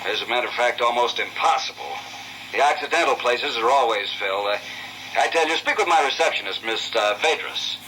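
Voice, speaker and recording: An actor playing an aloof, politely dismissive official in a 1950s radio drama, with a measured, hesitant and condescending manner. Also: male voice, medium pitch, lively intonation, sharp tone. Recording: noisy old 1950s radio recording.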